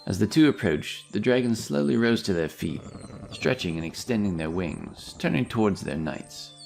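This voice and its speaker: A man's voice: fairly low pitch, big pitch swings, normal speed, creaky, darkish tone, low-quality recording. A man narrating a scripted fantasy story as a storyteller. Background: music.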